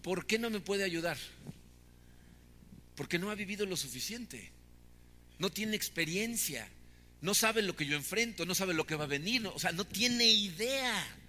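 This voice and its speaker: Bright-voiced man preaching in an echoey hall. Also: high-pitched, expressive, a little slow, long pauses, slight background noise. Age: 50s. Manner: conversational preaching with frequent "¿no?" tags. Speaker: pastor. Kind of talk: sermon.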